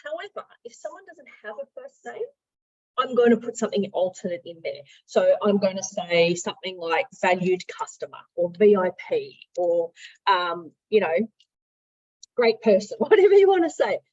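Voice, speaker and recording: A woman giving an informal, instructional software demo as a trainer, talking in a conversational, emphatic way. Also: fairly low pitch, moderate pace, lo-fi sound. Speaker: software trainer, marketing director.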